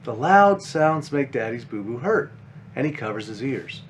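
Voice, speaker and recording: A man's voice: mid-range voice, big pitch swings, normal speed, a little hiss or hum, close to an everyday voice. A man voicing a caring five-year-old big sister bunny from a children's picture book, explaining things simply and earnestly.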